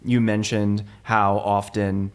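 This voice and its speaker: A young man with a lowish voice talks as a pastor. He is earnest and measured, pausing to restart his thoughts as he reflects. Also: flat delivery, clean tone. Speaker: lead pastor, interviewer. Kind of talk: reflective conversation.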